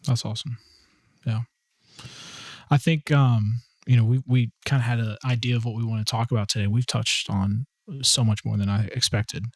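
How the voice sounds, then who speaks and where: A man's voice: medium pitch, fairly even intonation, moderate pace, dry recording, clean studio sound. A man co-hosting a casual podcast, upbeat and agreeable as he asks questions. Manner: agreeable, upbeat, lots of affirming interjections. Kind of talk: casual conversational podcast talk.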